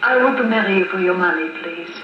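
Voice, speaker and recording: An actress playing a playful, adoring foreign sweetheart who speaks deliberately and coquettishly in a 1950s radio drama, on an old wire recording. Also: female voice, on the low side, lightly acted. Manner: coquettish, deliberate uncontracted phrasing. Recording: muffled, noisy, echoey 1950s wire recording.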